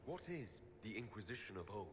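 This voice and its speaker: A man's voice: lowish voice, sing-song, fast. An actor playing an earnest, doubtful and defensive male dwarf hero in a video game. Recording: noisy game audio.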